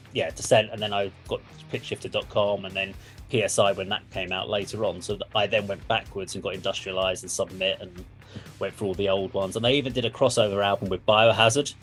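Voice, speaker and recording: A man's voice: on the low side, steady tone, fairly quick, fairly bright tone. A man hosting a casual music discussion, explaining things in an informal, sweary way. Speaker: music reaction show host.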